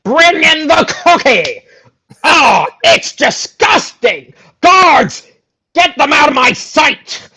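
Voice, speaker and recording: A man's voice: very high voice, very animated, slow pace, gravelly, bright, forceful tone, voice pushed up, full character voice. A man playing a pompous, comically evil villain lord in a melodramatic, imperious musical theatre manner. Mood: haughty, impatient. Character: pompous, evil yet comedic short-tempered villain lord. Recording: dull, lo-fi sound.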